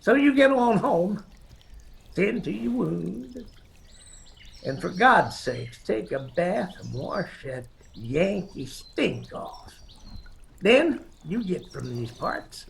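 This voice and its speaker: A man's voice: high-pitched, very animated, vocal fry, heavily acted. An actor in a radio drama playing a taunting, menacing backwoods Confederate militiaman with an Appalachian accent. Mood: threatening. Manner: mocking, drawn-out threats.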